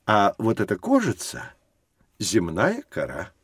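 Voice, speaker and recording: A low male voice with a very lively melody and a dry, close sound. He is patient and didactic, pausing for emphasis as he explains to children in an educational audio drama. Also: slightly rough, no echo, full character voice. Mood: patient, instructive. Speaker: teacher.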